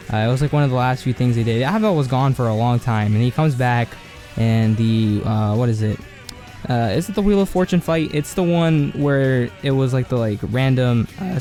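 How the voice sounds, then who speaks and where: Hoarse, rambling young-sounding man. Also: mid-range voice, mellow. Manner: rambling and slangy, heavy on filler words. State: hoarse, voice cracking. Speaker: video essay host. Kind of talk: casual anime commentary monologue.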